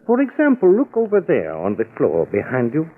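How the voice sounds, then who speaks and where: A gloating arsonist villain. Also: male voice, on the high side, huge pitch swings, moderate pace, exaggerated voice. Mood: sinister. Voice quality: smooth, gloating menace. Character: cold, scheming male arsonist killer. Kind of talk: 1940s radio acting. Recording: narrow old radio broadcast.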